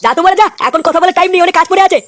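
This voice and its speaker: A man voicing a bullying, grumbling middle-aged father in a comic cartoon, scolding and blustering in a pitched-up voice. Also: steady tone, very fast, fairly bright tone. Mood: irate. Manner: scolding, blustering, foul-mouthed.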